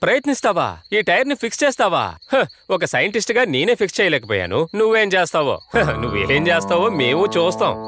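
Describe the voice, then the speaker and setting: A man's voice: high voice, big pitch swings, fast. A man voicing a proud, wealthy scientist in a dubbed cartoon, dismissive toward others. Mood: haughty. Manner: haughty, dismissive. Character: proud wealthy middle-aged male scientist. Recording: music bed under dialogue.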